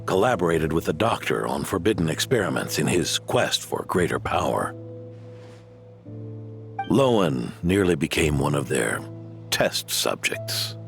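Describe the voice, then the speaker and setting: Old, modest knight: deep, gravelly, self-deprecating, with a lively delivery over music. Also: male voice, measured pace, exaggerated voice. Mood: reflective. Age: older. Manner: calm, reflective, self-deprecating. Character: aging, kindly veteran male knight, modest and weary. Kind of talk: video game voice acting. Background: game score music.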